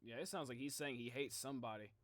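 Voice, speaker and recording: A young man reacting on a live stream in casual, slangy chat, excitable and thinking out loud. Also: medium pitch, quick pace, background noise. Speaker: streamer reacting to game videos.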